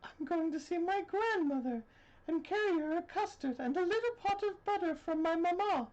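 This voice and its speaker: A storybook little country girl, acted out by a woman, speaks in a very high, swooping voice. She is innocent and curious, with a wide-eyed sing-song delivery. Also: expressive, moderate pace, darkish tone, muffled recording. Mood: innocent, cheerful.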